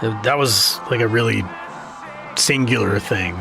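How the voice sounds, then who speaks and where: Jaded younger brother played by a man, lowish and creaky, halting, guarded, self-deprecating, audio drama with music behind. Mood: guarded, reflective. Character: Simon, jaded, guarded, grieving younger brother, record store employee. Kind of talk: naturalistic audio drama dialogue.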